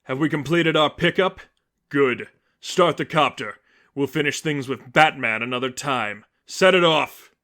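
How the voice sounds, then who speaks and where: A man's voice: a little high, a little slow, pushed and bright. A man voicing a smug, self-righteous masked zealot villain, cold and menacing, in a story reading. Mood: commanding. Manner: smug, pompous.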